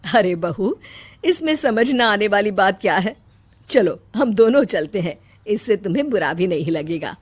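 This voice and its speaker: A woman's voice: mid-range voice, lively intonation, moderate pace, lightly acted. A woman voicing a kindly older mother-in-law in a cartoon story, motherly, reassuring and encouraging. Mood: concerned. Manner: motherly, plain-spoken, encouraging.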